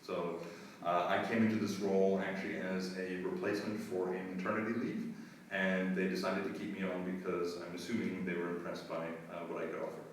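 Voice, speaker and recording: Middle-aged American-born administrator, earnest and measured, deep voice, heard from across a roomy space. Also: male voice, flat delivery. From United States. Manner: earnest, measured, with frequent filler pauses. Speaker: school administrator. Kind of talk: interview answers. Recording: roomy echo, distant mic, faint noise.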